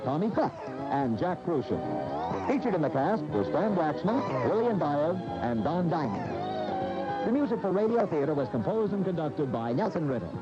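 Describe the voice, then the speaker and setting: Lively American male radio announcer, dull tape. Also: on the high side, moderate pace. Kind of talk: scripted 1980 radio credits announcement. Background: music.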